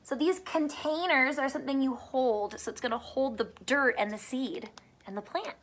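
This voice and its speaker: A woman teacher reading a picture book aloud to young children, pausing to explain simply and ask questions, drawing out words for emphasis. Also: high-pitched, fairly bright tone.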